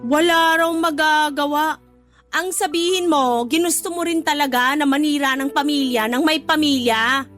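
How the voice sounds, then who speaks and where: A Filipino woman acting a sharp-tongued, scornful older sister in a radio drama, sarcastic and scolding. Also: sits high, steady tone, moderate pace, faint music behind.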